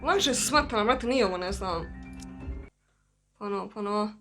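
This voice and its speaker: A woman's voice: mid-range voice, a little fast, a bit bright. A woman giving casual Let's Play commentary over game music. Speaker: gameplay host. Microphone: voice-over mixed with game audio.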